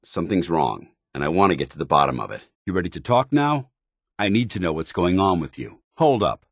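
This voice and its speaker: A stern paladin commander from a video game, with a very low, husky voice. He speaks in formal, clipped military phrases and carries himself as a duty-bound officer. His pitch moves with animated force. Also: fairly quick, dry recording, clean studio sound, heavily acted. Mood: earnest, guarded, then confrontational. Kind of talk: video game companion dialogue.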